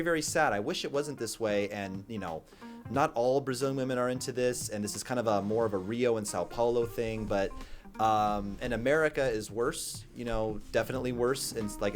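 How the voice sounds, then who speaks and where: A man in a brash, profane ranting monologue, giving blunt dating advice to camera. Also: mid-range voice. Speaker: dating coach.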